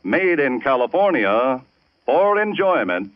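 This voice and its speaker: A man's voice: medium pitch, big pitch swings, unhurried, dry recording. A man reading a commercial as a 1940s radio announcer. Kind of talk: 1940s radio advertising pitch. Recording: dull, dry old radio recording.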